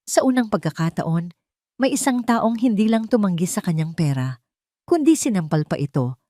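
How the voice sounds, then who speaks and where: A woman's voice: lowish voice, darkish tone, dry recording, studio quality. A woman narrating a scripted dramatic story as an audio drama narrator.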